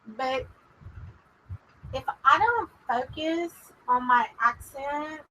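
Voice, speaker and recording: Texan woman, psychic livestream host; unhurried, rambling drawl, bright tone. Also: high-pitched, highs cut off, a little hiss or hum. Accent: Texas. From Texas, USA. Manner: chatty and rambling, drawling, addresses viewers as "y'all". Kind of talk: casual livestream chat with viewers.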